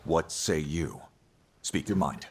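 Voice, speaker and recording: Very deep, stern older king. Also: expressive, takes it slowly, gravelly, slightly rough, full character voice. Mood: commanding. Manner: regal, terse, authoritative. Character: stern, dignified older male ruler, unyielding. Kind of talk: cinematic fantasy game acting. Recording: orchestral music behind.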